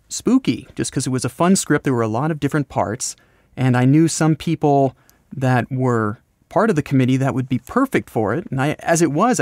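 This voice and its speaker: An American man being interviewed, explaining his creative work in a casual, rambling, halting conversational way. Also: mid-range voice, a little mellow, dry recording, clean studio sound. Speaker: podcast host, voice actor and scriptwriter being interviewed.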